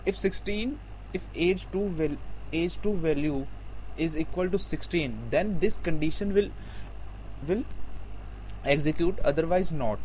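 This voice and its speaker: A man giving a programming tutorial, explaining step by step in a halting, repetitive way. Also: fairly high pitch, darkish tone, poor audio. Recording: faint background noise.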